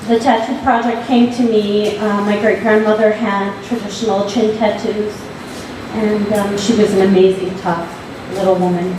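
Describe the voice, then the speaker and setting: A woman's voice: medium pitch, darkish tone. A woman from Alaska speaking on a panel, hesitant and full of fillers. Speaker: panelist, visual artist. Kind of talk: panel talk. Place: large gallery hall. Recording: echoey hall from far off, background noise.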